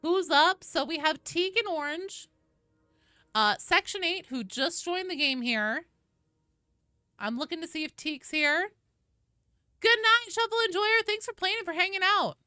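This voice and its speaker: A woman's voice: very high-pitched, measured pace, pauses often, pushed and bright, no room sound, studio quality, soft background music. A woman chatting casually and expressively with viewers as she hosts a gaming livestream. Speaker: game streamer and host.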